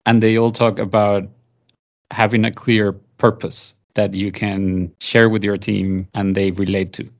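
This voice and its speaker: A man's voice: fairly low pitch, darkish tone. A man from Venezuela, a native Spanish speaker with a Venezuelan accent, explaining things thoughtfully as a guest in a conversational interview. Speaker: podcast guest.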